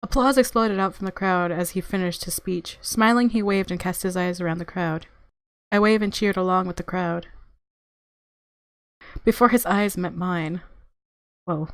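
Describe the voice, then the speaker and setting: A woman's voice: fairly low pitch, normal speed, clean tone, no room sound. A woman hosting a gaming video, reading visual novel narration aloud expressively and reacting casually. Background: game music.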